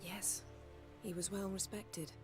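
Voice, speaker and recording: An actress playing a haughty, elegant sorceress who speaks in a dry, sardonic, softly cutting way. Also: female voice, medium pitch, level tone, slow, natural voice. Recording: game cutscene with music behind.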